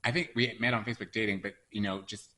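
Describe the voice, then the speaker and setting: A man co-hosting a podcast, chatting casually in a playful, teasing way, with loose speech full of fillers and restarts. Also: fairly low pitch. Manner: animated, playful, quick to tease. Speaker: podcast co-host. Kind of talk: casual chat.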